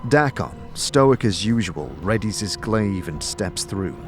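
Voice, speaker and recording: A man with a fairly low voice narrates a fantasy tale as a game master would. His delivery is dramatic and scripted, with music clearly playing behind him. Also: animated, measured pace. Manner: dramatic storytelling.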